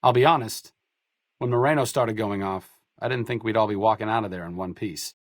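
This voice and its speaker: A man's voice: fairly low pitch, brisk, dry recording, close to an everyday voice. Man acting as dry, wry wasteland doctor, close-miked. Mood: earnest, sober. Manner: dry, articulate, sardonic, self-deprecating. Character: wry, educated, idealistic doctor-researcher in a post-apocalyptic wasteland. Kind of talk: video game companion dialogue.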